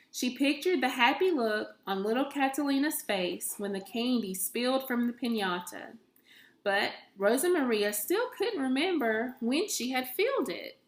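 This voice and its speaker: A woman reads a children's story aloud with expression, taking it very slowly. Her voice sits a bit high, and the recording is roomy and echoey. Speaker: teacher reading aloud.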